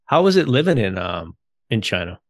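A man's voice: on the low side, big pitch swings, normal speed. An American man hosting a podcast in casual chat, with rambling, stumbling phrasing as he puts his questions together. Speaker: podcast host.

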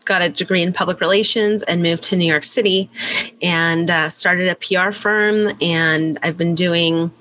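Woman interview guest, bright and clear tone, rambly conversational delivery with many fillers. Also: fairly low pitch, steady tone, normal speed. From born in Canada, raised in California. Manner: chatty, rambling with frequent fillers.

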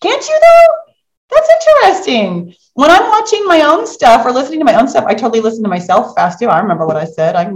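A middle-aged woman hosting a book club as a coach, chatting casually in an animated, encouraging and affirming way. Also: a little high, brisk, muffled recording. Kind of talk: casual chat in a live online book club. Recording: roomy, echoing sound.

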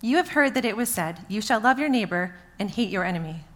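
A woman's voice: medium pitch, lively intonation, brisk. A woman reading a Bible passage aloud as a scripture reader.

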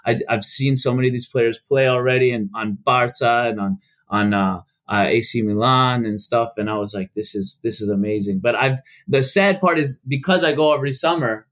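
A young man from Chicago, a podcast guest, telling stories in a casual, halting way with many restarts and fillers. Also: medium pitch, fairly bright tone. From United States.

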